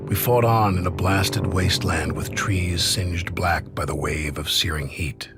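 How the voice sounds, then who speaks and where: War veteran narrator, deep voice, somber, measured, weary, game story, music behind. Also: male voice, fairly even intonation. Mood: grave. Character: weary soldier recalling past battles.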